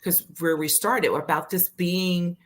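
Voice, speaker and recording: A woman's voice: fairly low pitch, fairly even intonation, measured pace. A woman co-hosting a podcast conversation, casual and chatty. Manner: casual, chatty, laughing easily. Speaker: co-host.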